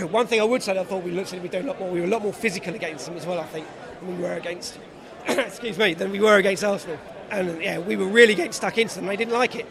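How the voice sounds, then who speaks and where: Hoarse high-voiced man, crowd behind. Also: fast. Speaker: guest fan. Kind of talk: casual football chat. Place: outdoors by a stadium.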